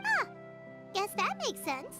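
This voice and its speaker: A woman's voice: very high voice, wildly swooping pitch, takes it slowly, over music, full character voice. A woman voicing a tiny, childlike, cheerful fairy companion in an anime game, exclaiming and talking about herself in the third person.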